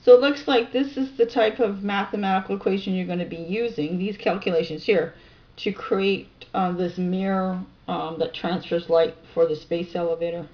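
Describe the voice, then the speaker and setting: A woman narrating a video, reading scientific text aloud with informal asides, halting over technical terms and correcting herself. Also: on the low side, steady tone. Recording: dull lo-fi sound.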